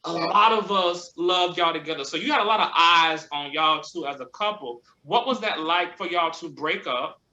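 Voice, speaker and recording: A man's voice: on the high side, normal speed, few pauses, roomy sound, highs cut off. A man hosting a casual conversation, encouraging his guests and asking them questions. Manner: affirming, encouraging, asks questions warmly. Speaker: host.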